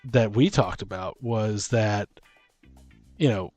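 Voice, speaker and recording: A man's voice: fairly low pitch, slow pace, a little mellow, faint music behind. A middle-aged man chatting casually as a podcast host, rambling emphatically and often breaking off mid-thought. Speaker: podcast host and tabletop game master. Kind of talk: casual conversational chat.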